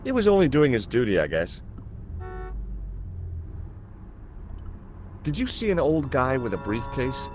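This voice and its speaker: Game lead: dogged young American, polite, wry, animated intonation, music behind. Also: male voice, medium pitch, fairly quick, background noise. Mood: inquisitive. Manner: polite but persistent, dryly sardonic. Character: earnest, dogged young American man investigating a bombing. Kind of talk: video game dialogue acting.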